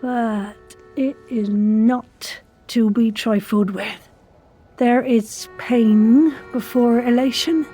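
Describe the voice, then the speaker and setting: Slow, solemn woman leading ritual. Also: fairly high pitch, steady tone, mellow. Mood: grave. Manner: hesitant, instructive, grave. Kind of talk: audio drama acting. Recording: music behind the voice.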